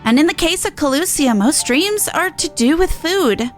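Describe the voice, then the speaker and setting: A very high voice makes a small, scolding pixie , put on by a woman. The delivery is blunt and dismissive, fit for a fantasy game. Faint music runs behind it. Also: lively intonation, normal speed. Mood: musing. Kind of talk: video game quest dialogue read aloud.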